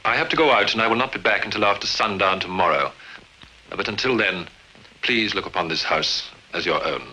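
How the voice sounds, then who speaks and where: Brief: a courteous, menacing vampire count from a 1950s horror film, bright sharp tone. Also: male voice, on the low side, lively intonation, fairly quick, slight background noise, rough recording. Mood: coolly courteous. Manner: coolly formal, courteous and menacing. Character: commanding male vampire count welcoming a guest.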